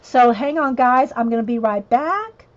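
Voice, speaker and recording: A woman's voice: a little high, expressive, moderate pace. Chatty woman on a dull livestream mic. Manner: chatty, rambling, reads chat messages aloud and answers viewers. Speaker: livestreaming coloring artist. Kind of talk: casual chat while coloring on a livestream.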